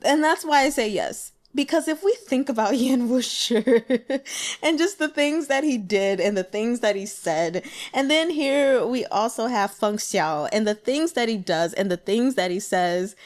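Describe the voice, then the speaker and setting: A woman talking casually through her notes on a book, in a chatty, giggly and animated manner. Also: fairly high pitch, measured pace. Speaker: audiobook reader and book commentator.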